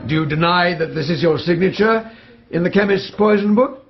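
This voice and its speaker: A man's voice: high-pitched, highs cut off. A man playing a stern British coroner in a radio drama, questioning formally and pointedly. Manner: formal, pointed, measured phrasing. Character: stern, probing coroner questioning witnesses at an inquest.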